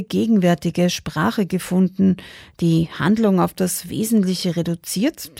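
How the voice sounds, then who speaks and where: Low, mellow woman presenting radio. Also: vocal fry, no echo, clean studio sound. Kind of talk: scripted radio introduction.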